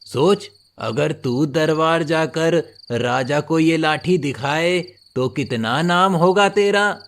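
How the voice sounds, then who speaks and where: Man as a sly, slow-talking greedy moneylender. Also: on the high side, a bit bright. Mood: sweetly coaxing. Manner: sly, coaxing, honeyed. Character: greedy, scheming village moneylender, falsely friendly. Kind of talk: Hindi moral cartoon story villain.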